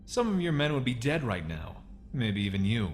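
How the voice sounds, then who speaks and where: Man acting a smooth, bargaining space pirate. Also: medium pitch, big pitch swings, normal speed, faint music behind, natural voice. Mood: controlled, bargaining. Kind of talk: audio comic dub acting.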